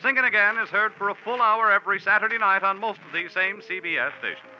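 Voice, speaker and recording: A man's voice: sits high, fast, slight background noise. A man announcing in a scripted, formal 1940s radio style. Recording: dull 1940s radio recording, music.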